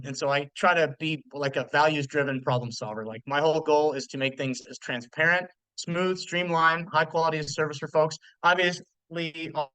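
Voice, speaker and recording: A man's voice: on the high side, fairly bright tone, clear, resonant tone, dry recording, poor audio. A man in his thirties, a mortgage loan officer, hosting a live webinar in a loose, chatty way with lots of fillers and restarts. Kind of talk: casual live webinar presentation.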